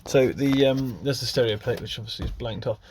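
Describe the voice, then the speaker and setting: A man's voice: medium pitch, steady tone, fairly quick, a little mellow, slight background noise, low-quality recording. A man talking casually and off the cuff as he presents a hands-on DIY demonstration, informal and self-deprecating. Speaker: car hobbyist presenting a DIY video.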